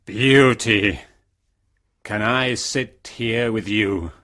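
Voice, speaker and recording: A woman voicing a big, ugly but kind male beast, slow and deep, in a storybook reading. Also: a bit bright, exaggerated voice. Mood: pleading. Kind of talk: graded reader storybook narration.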